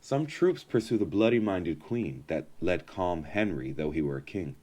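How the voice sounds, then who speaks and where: A man playing a victorious, magnanimous young king in a Shakespearean audio drama, with measured, regal declamation. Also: sits low, lively intonation, darkish tone. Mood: triumphant relief.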